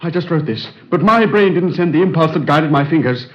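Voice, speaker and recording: A man's voice: a little high, lively intonation, natural voice. A young actor playing a nervous, haunted man who speaks hesitantly in a 1930s radio play. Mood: uneasy. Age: young adult. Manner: hesitant, halting, tense. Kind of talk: 1930s radio drama acting. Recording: dull vintage radio recording.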